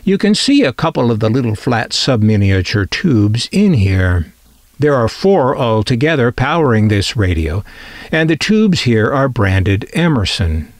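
A man's voice: medium pitch, sing-song, unhurried, no room sound, studio quality. A man presenting a collector's item in informal scripted narration, with a wry, dryly humorous manner. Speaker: presenter of a vintage radio collecting channel.

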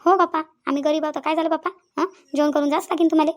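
A woman's voice: high-pitched, fairly even intonation, rapid-fire, natural voice. A woman playing insistent, talkative village women in a comedy cartoon, with a rural Vidarbha Maharashtrian accent and a habit of repeating phrases. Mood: insistent hospitality. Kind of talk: Marathi comedy cartoon dialogue.

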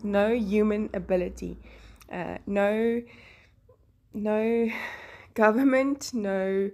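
A woman's voice: medium pitch, darkish tone. A woman with a South African accent whose first language is Afrikaans, chatting with frequent laughs in a craft voice-over. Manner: chatty, laughs often between phrases. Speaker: Bible journaling channel host. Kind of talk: voice-over narrating a craft process.

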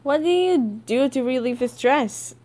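A woman casually reading and commenting as an amateur comic dubber, halting and giggly. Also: sits high, expressive, darkish tone.

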